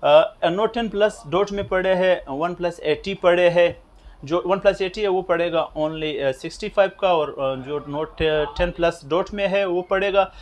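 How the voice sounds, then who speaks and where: A man in his thirties with a Pakistani Urdu accent, filming in a small phone shop and briskly listing prices with many filler pauses, on a lapel mic. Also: high-pitched.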